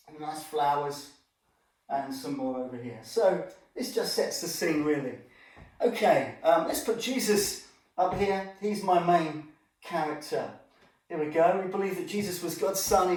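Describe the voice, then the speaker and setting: A man telling an informal children's Bible story, chatting directly to his young listeners as he presents. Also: a little high. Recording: echoey room, distant microphone.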